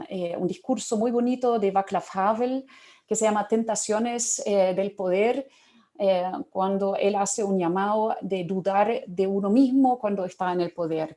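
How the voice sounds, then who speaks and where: Woman, German accent, academic panelist; warm, relaxed tone, halting delivery with many fillers. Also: mid-range voice. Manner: thoughtful, many pauses and fillers.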